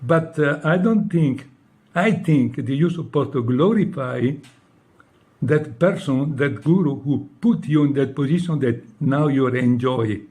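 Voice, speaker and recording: A man's voice: mid-range voice, darkish tone. Italian-accented man making a reproachful appeal. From Italy. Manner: reproachful, pleading, halting English with many rhetorical "no?" tags. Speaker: former devotee addressing a leader. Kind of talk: direct personal appeal to camera.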